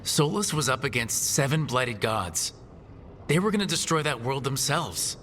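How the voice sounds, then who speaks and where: A man acting an earnest, bright-voiced rebel hero. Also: mid-range voice, expressive, moderate pace, a little hiss or hum, lightly acted. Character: earnest, principled male rebel hero leading his companions.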